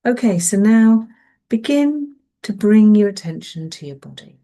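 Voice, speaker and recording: Slow, mellow woman guiding meditation. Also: medium pitch, expressive. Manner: gentle, soothing, unhurried with long pauses. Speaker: meditation guide, therapist. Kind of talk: guided mindfulness meditation, softly spoken.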